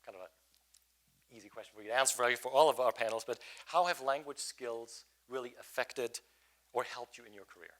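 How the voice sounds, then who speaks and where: A man moderating a panel, introducing guests and asking questions in a relaxed, loose way with many fillers. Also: mid-range voice, fairly quick. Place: event panel before a student audience. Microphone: microphone at a panel table.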